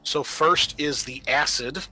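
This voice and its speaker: A man's voice: fairly high pitch, fairly even intonation, takes it slowly, bright, forceful tone, faint music behind. A man narrating a tabletop horror roleplaying game as game master, casual and prone to swearing.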